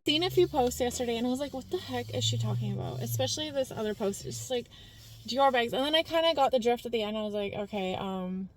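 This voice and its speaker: Woman vlogger, high natural voice, scattered casual delivery. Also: lively intonation, quick pace, low-quality recording. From Canada. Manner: rambling, jumps between points with many fillers. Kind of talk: casual talking-head commentary.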